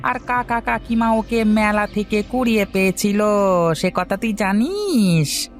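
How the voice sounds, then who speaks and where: A woman's voice: mid-range voice, expressive, measured pace, soft background music. A woman voicing a sly, chatty young village lad in a fairy-tale cartoon. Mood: insinuating. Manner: insinuating, chatty.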